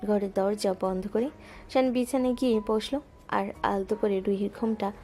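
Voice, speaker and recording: A woman narrating a romantic audio story in a smooth, even storytelling voice. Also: mid-range voice, normal speed. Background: soft background music.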